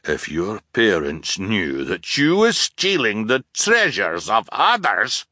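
A man performs a blustering submarine captain from a storybook. The voice leaps around in pitch with a hard, forceful edge, throwing out urgent, repeated exclamations in frantic bursts. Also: wildly swooping pitch, slow pace, dry recording, studio quality, full character voice. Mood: astonished, blustering. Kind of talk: audiobook character voice.

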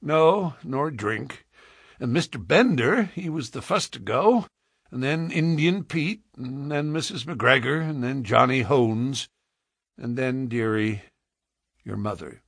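A man voicing a weary, hardened adventurer who speaks gruffly in plain, rustic frontier speech, as in a storybook. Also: animated, slow, a bit bright, dry recording, studio quality, exaggerated voice. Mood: grave, weary. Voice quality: deep, harsh voice.

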